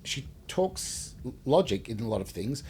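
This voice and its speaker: A man's voice: medium pitch, sing-song, a little slow, warm tone, a little hiss or hum. A man co-hosting a casual podcast, talking informally and hesitantly, often repeating himself. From Wales, later Australia. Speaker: podcast host. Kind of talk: casual unscripted podcast discussion.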